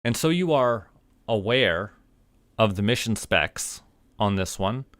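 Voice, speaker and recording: Casting: male Canadian game streamer, lowish voice, very slow casual delivery, playful mock-official manner. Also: lively intonation, a bit bright, no room sound. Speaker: livestream gamer host. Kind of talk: casual live gameplay commentary.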